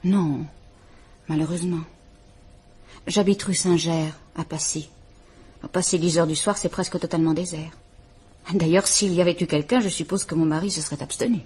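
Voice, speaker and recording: An actress playing a frightened, formal estranged wife who is visiting a police inspector, speaking in a restrained, measured way. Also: female voice, lowish voice, fairly even intonation, darkish tone, breathy edge. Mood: grave, firm. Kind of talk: 1950s French radio drama acting.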